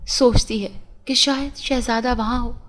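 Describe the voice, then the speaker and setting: A woman's voice: on the high side, fairly even intonation, a little slow, fairly bright tone. A woman reading a scripted fairy tale as a storyteller.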